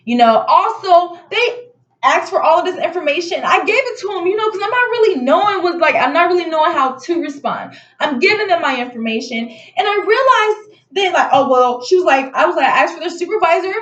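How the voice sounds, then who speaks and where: Casting: female vlogger, high-pitched voice, indignant casual storytelling, slightly muffled roomy sound. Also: brisk. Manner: animated, indignant retelling with acted-out dialogue. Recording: muffled audio in echoey room.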